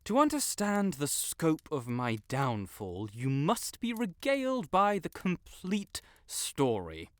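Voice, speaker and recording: A man's voice: on the high side, wildly swooping pitch, slow, few pauses, a bit bright, no room sound, exaggerated voice. A man playing a pompous, melodramatic wizard in an audio roleplay, with flowery, theatrical speech and blurted self-corrections. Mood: haughty, dramatic. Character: haughty, melodramatic male wizard, secretly fond tsundere. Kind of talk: scripted audio roleplay to the listener.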